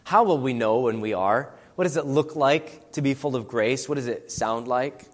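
A man's voice: medium pitch, moderate pace. A man giving a conversational sermon. He asks his listeners many rhetorical questions. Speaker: preacher. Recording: long hall reverb.

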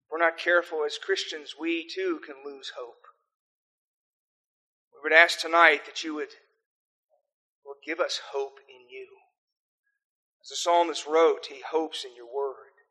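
Bright earnest older pastor, American accent. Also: male voice, fairly high pitch, poor audio. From South Africa. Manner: earnest, pleading in prayer. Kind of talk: sermon with opening prayer.

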